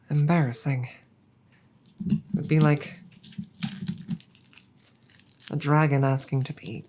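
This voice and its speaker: A woman playing a proud but bashful dragon girlfriend in an intimate audio roleplay, with formal wording, a breathy voice and halting, sighing delivery. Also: deep voice, slight background noise. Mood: embarrassed. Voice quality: husky, breathy. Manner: formal wording, hesitant, halting, soft sighs.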